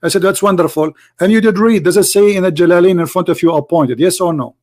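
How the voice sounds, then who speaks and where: Man, high voice, non-native english, insistent, repeats himself, debate host. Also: fast, a little mellow. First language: not English. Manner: insistent, repeats points, cuts in. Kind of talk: heated live debate.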